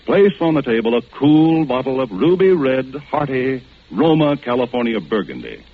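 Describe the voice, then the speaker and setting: A man's voice: mid-range voice, sing-song, moderate pace, breathy edge. A man reading a 1940s radio advertisement as an announcer, with polished salesmanship. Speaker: commercial announcer. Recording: tinny, narrow-band vintage radio broadcast.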